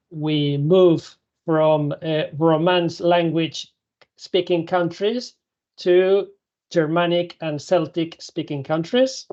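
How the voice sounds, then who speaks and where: Panel moderator, hesitant, filler words, mid pitch, dull lo-fi audio. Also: a little mellow. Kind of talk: hosting an online panel.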